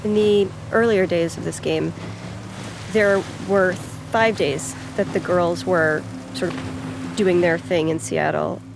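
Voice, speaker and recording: A woman's voice: lowish voice, steady tone, slow, vocal fry, noisy background. A woman discussing a video game's story as a writer, in a conversational commentary.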